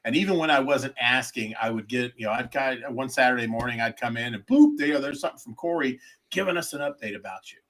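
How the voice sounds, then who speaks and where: Male podcast host, bright tone, loose joking ramble. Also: mid-range voice, animated, fast. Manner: joking, rambling, stumbles on words. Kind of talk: casual podcast banter.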